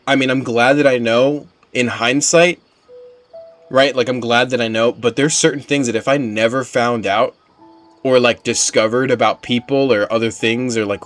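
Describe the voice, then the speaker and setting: A man giving casual gameplay commentary as a streamer, slangy and emphatic, often repeating himself for weight. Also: mid-range voice. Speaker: game streamer.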